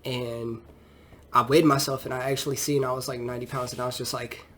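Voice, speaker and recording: A man's voice: mid-range voice, little pitch movement, fairly quick, a bit bright, a little hiss or hum. A man in his twenties, a fitness trainer, talking casually and rambling in a vlog with a stuffy nose from a cold, full of loose fillers. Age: 20s. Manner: loose and chatty, with many fillers like "you know".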